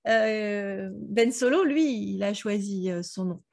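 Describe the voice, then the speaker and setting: A woman's voice: medium pitch, slow pace, creaky, a little mellow. A woman chatting casually and jokily as a co-host of a book club discussion, using plenty of slang. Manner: slangy, jokey, acts out quotes. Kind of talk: casual discussion of an audio drama.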